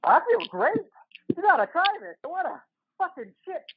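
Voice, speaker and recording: A crazed biker-gang ghoul, voiced by a woman, in an audio drama. The voice is very high and swoops excitedly, frantic and eager, through a thin, muffled recording. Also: moderate pace, exaggerated voice. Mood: manic glee. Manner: stammering, frantic, yelling. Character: manic, foul-mouthed male gang ghoul, eager and crazed.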